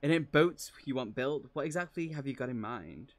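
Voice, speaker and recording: A man reading aloud as a gruff, terse, wary small hob craftsman from a fantasy novel, with clipped replies. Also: medium pitch, animated, normal speed, close to an everyday voice. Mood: dry, wary.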